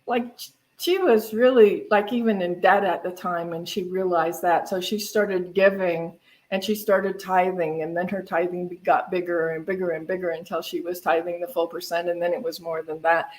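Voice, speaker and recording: A woman's voice: fairly low pitch, fairly even intonation, normal speed, darkish tone, low-quality recording. A woman minister speaking informally at a spiritual center service, in a conversational, rambling way that often corrects itself. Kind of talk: informal church talk on giving.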